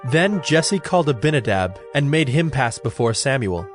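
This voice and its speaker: A man narrating a scripted Bible story in a formal, measured storytelling manner. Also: medium pitch, big pitch swings. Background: music.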